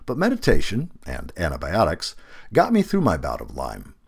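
A man reading a reflective essay aloud as scripted narration, with measured phrasing and deliberate emphasis. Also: sits low, wildly swooping pitch, gravelly, slightly rough.

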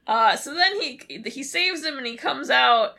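A woman with a bright, forceful voice that sits a little high and swings widely in pitch. She is a co-host casually retelling a story, animatedly slipping into little bits of acted dialogue. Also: unhurried, gravelly. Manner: animated, acts out characters' lines in retelling. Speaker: podcast co-host. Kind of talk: casual episode recap chat.

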